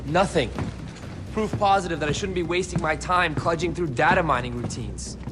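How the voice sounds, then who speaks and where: A man's voice: a little high, normal speed, music in the background, natural voice. A man playing a cocky, impatient young computer hacker in a game, glib and geeky in manner. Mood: griping, cocky. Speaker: team hacker in a spy game. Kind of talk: dramatic game cutscene acting.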